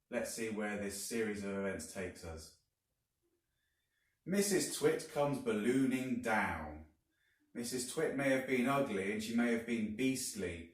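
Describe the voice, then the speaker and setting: A man reading a children's story aloud like a teacher, deliberately and with dramatic emphasis. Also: lowish voice. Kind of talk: expressive storybook narration. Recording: very echoey room, distant mic.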